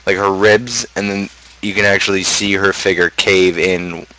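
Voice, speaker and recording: A man's voice: low-pitched, little pitch movement, normal speed, sharp tone, rough recording. A young adult man chatting casually on a livestream, rambling and opinionated as he rants. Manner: opinionated, ranting. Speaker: livestream host.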